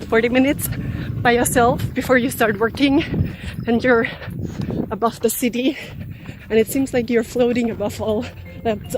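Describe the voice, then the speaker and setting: A woman's voice: fairly high pitch, low-quality recording. A woman from Austria with an Austrian German accent, chatting outdoors as she runs trails and guides a tour. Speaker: runner guiding a trail tour. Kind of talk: casual walk-and-talk to camera. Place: outdoors, forest trails and park.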